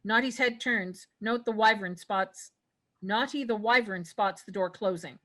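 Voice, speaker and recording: A woman's voice: mid-range voice, a little slow, fairly bright tone. A woman reading stage directions as narrator in a scripted fantasy table read.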